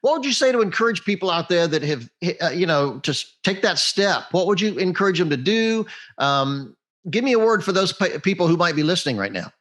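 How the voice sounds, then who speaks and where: A man's voice: high voice, sing-song, brisk, a bit bright. A man hosting a conversational interview, encouraging his guest and rephrasing as he goes. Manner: encouraging, rephrases and restarts questions. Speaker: interview host.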